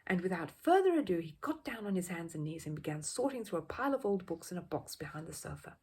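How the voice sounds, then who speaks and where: A woman narrates a children's storybook with very lively swings in pitch. Her voice sits fairly low and has a husky edge, and her reading is expressive throughout. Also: quick pace.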